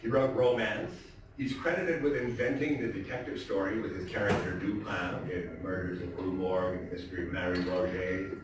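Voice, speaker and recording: A man hosting a live stage reading, talking to the audience in an informal, chatty, halting way. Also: low-pitched, steady tone. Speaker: stage performer hosting an evening of Poe readings. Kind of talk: casual introduction to a live audience. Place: theatre stage, live performance. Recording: very echoey theatre, distant mic, faint noise.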